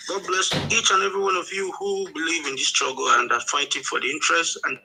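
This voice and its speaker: A man's voice: fairly high pitch, steady tone, quick pace, loud music behind the voice. A man speaking solemnly and deferentially as an audience member in a political discussion. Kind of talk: devout political tribute. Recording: clearly noisy line with clicks.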